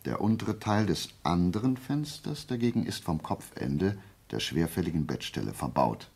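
An actor playing a methodical, self-assured amateur detective in a radio play, gravelly and husky, explaining with deliberate, didactic emphasis. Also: male voice, low voice, lively intonation, a little mellow, full character voice. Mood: assured, analytical.

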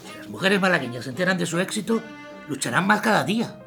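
A man plays a polite, wary gentleman in a radio play. His formal voice is bright and creaky, with faint music underneath. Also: high voice, animated, fast, exaggerated voice. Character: courteous, wary upper-class gentleman host. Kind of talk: audio drama acting.

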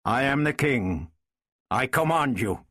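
A man's voice: mid-range voice, huge pitch swings, takes it slowly, breathy edge, no room sound, clean studio sound, voice pushed up, exaggerated voice. A man voicing an earnest, authoritative king reasoning with his sister in a dramatised storybook reading. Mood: commanding. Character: commanding king pleading with his stubborn sister.

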